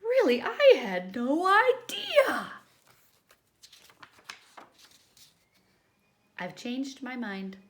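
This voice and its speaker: A woman's voice: sits high, huge pitch swings, slow, slight background noise, exaggerated voice. A woman voicing a demanding, whiny pigeon given to theatrical outbursts in a children's storybook. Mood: alarmed. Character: demanding, dramatic, tantrum-prone cartoon pigeon. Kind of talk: children's storybook read-aloud.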